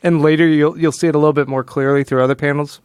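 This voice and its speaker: Smooth-voiced man co-hosting a casual podcast. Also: fairly high pitch, flat delivery, fast talker, darkish tone, no room sound, studio quality. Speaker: podcast co-host. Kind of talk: casual comic book discussion.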